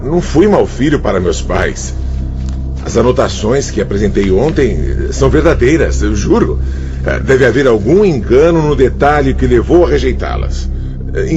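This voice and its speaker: A man's voice with a Brazilian accent, animated, over music in slightly dull audio. An actor plays a defensive, hard-done-by pupil in a radio play, protesting in hesitant, pleading indignation. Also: medium pitch, natural voice. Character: defensive, aggrieved male pupil protesting piously. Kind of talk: Brazilian radio drama acting.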